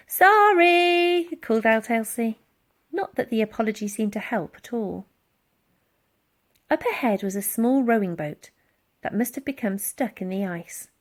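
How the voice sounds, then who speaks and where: A woman reading a children's storybook aloud in a dramatic, expressive narrating style. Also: fairly high pitch, normal speed, pauses often. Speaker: audiobook reader.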